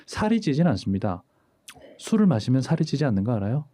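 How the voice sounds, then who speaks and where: A man in his forties, a doctor hosting a casual chat, explaining things in a lively storytelling way and acting out quoted lines. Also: mid-range voice, quick pace. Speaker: urologist host.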